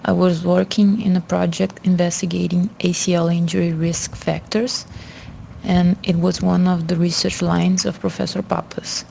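Young woman reading her interview answers, low-ish voice, pretty monotone, muffled recording. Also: normal speed, vocal fry. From Brazil. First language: Portuguese. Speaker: guest student. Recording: dull lo-fi audio, faint noise.

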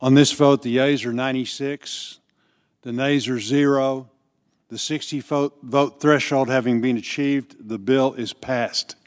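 A man formally announcing a legislative vote result in a deliberate way. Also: medium pitch, steady tone, smooth, no room sound, studio quality. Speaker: legislative presiding officer.

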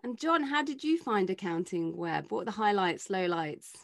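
A woman's voice: mid-range voice, expressive, moderate pace, gravelly, no echo, clean studio sound. A woman hosting a podcast, chatty and upbeat as she reads the show notes and banters with her guests. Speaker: podcast host.

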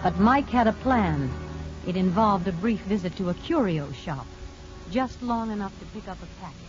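An actress playing a composed, practical woman counselor who narrates a 1950s radio drama in a measured, dry manner. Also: medium pitch, animated, natural voice. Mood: measured, grave. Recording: dull old radio recording with music.

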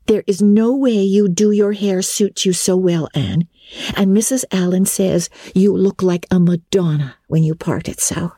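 A woman's voice: medium pitch, measured pace, mellow, no echo, studio quality, pitched above the performer's own voice, natural voice. A woman voicing a vivacious, bossy and affectionate teenage girl who fusses over her best friend in a storybook reading. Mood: bossy, fussing. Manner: gushing, decisive, affectionate.

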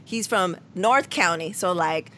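A woman's voice: lowish voice, expressive, measured pace, bright, forceful tone, a little hiss or hum. A woman in her thirties from San Diego hosting a podcast, talking in a laid-back, slangy way and laughing often. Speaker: podcast host. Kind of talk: casual podcast chat.

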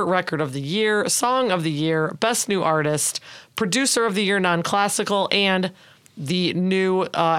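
A woman with a raspy voice, chatting casually and conversationally as co-host of a podcast. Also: fairly low pitch, a bit bright.

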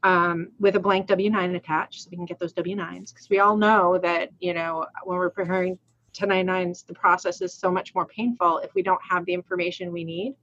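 A woman's voice: fairly low pitch, fairly even intonation, fairly quick, fairly bright tone, dull audio. A woman in her forties from Rhode Island, co-hosting a casual accounting podcast, chatty, laughing often and restarting her sentences. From Rhode Island, USA. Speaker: podcast co-host.

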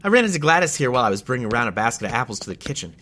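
A man's voice: mid-range voice, very animated, rapid-fire, sharp tone, a little hiss or hum. A man acting a friendly, digressive anarchist botanist in a sci-fi audio drama, wordy and jovial. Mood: chatty, genial.

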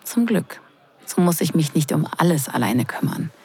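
A woman's voice: deep voice, very animated, moderate pace, warm tone, slightly rough, full character voice. A woman acting a sarcastic, flustered young sister of the groom in an intimate, confiding audio drama. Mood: teasing, annoyed. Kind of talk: intimate first-person audio drama narration and dialogue.

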